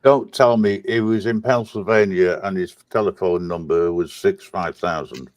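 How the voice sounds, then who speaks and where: A man's voice: sits low, moderate pace, darkish tone, dry recording. A man bantering casually on a panel with a dry, teasing manner. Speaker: talk show panelist.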